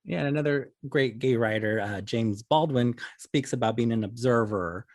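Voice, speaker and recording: A man's voice: medium pitch, moderate pace, darkish tone, dry recording, clean studio sound. A man hosting an interview in a casual, conversational style. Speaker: interview host.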